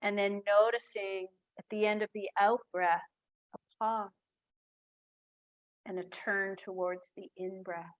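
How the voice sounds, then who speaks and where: Woman, meditation guide, very slow delivery, soft calm voice, long pauses, mid pitch. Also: rough recording.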